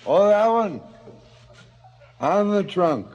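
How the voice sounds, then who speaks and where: An actor playing a drunken, sardonic crooked cop in a theatrical radio drama, with a halting drawl. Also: male voice, high-pitched, animated, a little mellow, lo-fi sound, a little hiss or hum. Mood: mock friendly. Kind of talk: 1970s radio drama acting.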